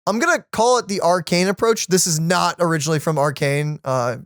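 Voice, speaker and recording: Reaction co-host, conversational, enthusiastic, medium pitch, dry sound. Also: brisk, no room sound. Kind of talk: casual reaction chat.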